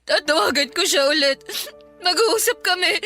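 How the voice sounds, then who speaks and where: A woman's voice: extremely high pitch, lively intonation, moderate pace, bright, forceful tone, soft background music, exaggerated voice. A woman playing a heartbroken, desperate young woman in a radio drama, pleading tearfully and stubbornly.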